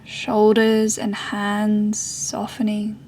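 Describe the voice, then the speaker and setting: Meditation guide, female, soft and breathy, very even pitch, unhurried with long pauses. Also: a little hiss or hum. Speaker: yoga and meditation teacher.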